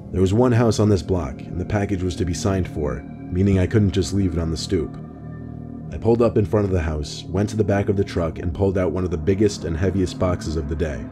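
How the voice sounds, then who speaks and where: A man reading first-person scary stories in a calm, measured scripted narration. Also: deep voice. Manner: calm, measured storytelling delivery. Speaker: horror story narrator. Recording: music bed underneath.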